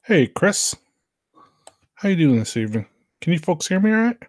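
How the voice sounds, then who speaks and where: A man's voice: a little high, sing-song, a little mellow, no room sound. Casual man hosting a livestream, dry sound. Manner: informal, halting, greeting listeners. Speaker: livestream host.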